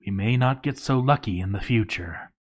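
A man narrating a written game playthrough log in a dramatized read-aloud style, theatrical and full of deliberate pauses. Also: on the low side.

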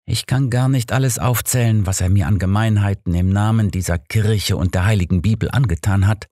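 A man voicing an embittered woman who makes a soft, measured confession of murder in an audiobook. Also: fairly low pitch, no room sound, studio quality, natural voice. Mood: quietly bitter.

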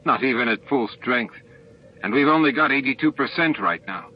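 A man's voice: medium pitch, expressive, normal speed, dull audio, a little hiss or hum, close to an everyday voice. A man reading the part of an eager, technically minded male starship engineer in an emphatic, explanatory manner. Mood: keen explaining.

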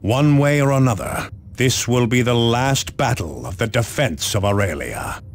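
An actor playing a grave, stoic space marine captain who gives formal, measured orders in a video game. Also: male voice, mid-range voice, lively intonation, a little hiss or hum, close to an everyday voice. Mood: solemn, resolute. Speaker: military commander. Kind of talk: video game mission briefing, dramatic acting.

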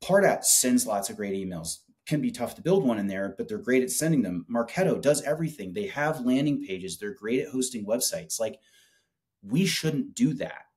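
A man in his forties making a casual, enthusiastic product pitch in conversation, speaking colloquially on a video call. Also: lively intonation, normal speed, darkish tone. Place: small office with whiteboard, indoors.

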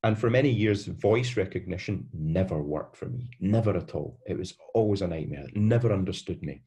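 Low-voiced Scottish lecturer, halting delivery. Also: male voice, expressive, warm tone. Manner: hesitant, many fillers and restarts. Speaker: university lecturer. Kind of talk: online lecture over slides. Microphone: webcam on a video call.

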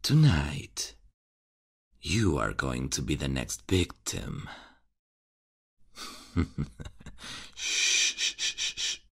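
A man playing a gravel-voiced mocking serial killer. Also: a bass voice, very animated, full character voice. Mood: menacing. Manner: taunting, chuckling, slow and hushed. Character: menacing mocking adult male serial killer. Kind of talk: ASMR horror roleplay.

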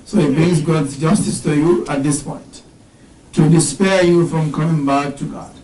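A middle-aged man giving an interactive religious lesson, quizzing his class on terms, explaining them slowly and reciting Arabic verses. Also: a little high, steady tone, mellow, roomy sound, recorded from a distance, slight background noise, rough recording. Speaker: religious teacher. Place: indoor classroom with whiteboard.

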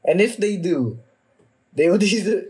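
Young man, reaction host, slow pace, slightly rough husky tone, halting, repetitive, casual swearing. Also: sits high, lively intonation, darkish tone. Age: young adult. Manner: halting, sweary, repeats phrases. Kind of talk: casual off-the-cuff reaction commentary. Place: small room indoors at home.

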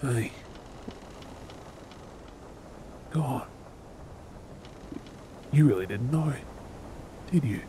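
A man playing a gruff, wounded, bitter yet tender pirate captain in a role-play drama, sardonic and brooding with dramatic pauses. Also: mid-range voice, lively intonation, dark tone, slight background noise. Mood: softened, earnest. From Australia.

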